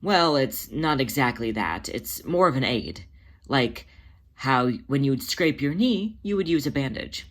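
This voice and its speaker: Narrator acting out a gentle, motherly cat healer. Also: mid-range voice, expressive, measured pace. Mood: calm, earnest. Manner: soothing, patient, explaining. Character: Jelly Lorum, gentle reassuring motherly female cat healer.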